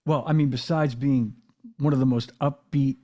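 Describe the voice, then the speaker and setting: A man hosting a casual interview, speaking in halting phrases with many restarts and affirmations. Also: medium pitch, steady tone, mellow. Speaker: podcast host and drummer.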